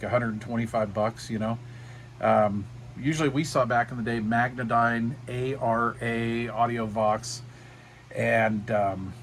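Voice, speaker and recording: A middle-aged man with a medium-pitched voice presents a hands-on project. He explains things casually and without a script, rambling along in a folksy way. A faint, steady room hum sits behind his voice. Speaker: DIY radio hobbyist presenter. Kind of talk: casual unscripted project walkthrough.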